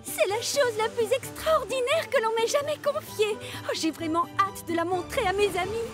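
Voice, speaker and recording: A woman voicing an eager, proud, easily flustered female steam engine in a French-dubbed children's cartoon, expressive and full of exclamations. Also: very high voice, moderate pace. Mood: excited. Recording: music behind the voice.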